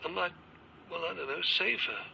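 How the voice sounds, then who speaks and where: A man's voice: high-pitched, expressive, brisk, gravelly, sharp tone, exaggerated voice. An actor playing a hesitant, apologetic older Englishman who stammers as he explains himself in a radio drama. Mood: earnest. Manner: apologetic, mild, rambling. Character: timid older man, retired, set out walking. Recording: dull lo-fi audio with faint background noise.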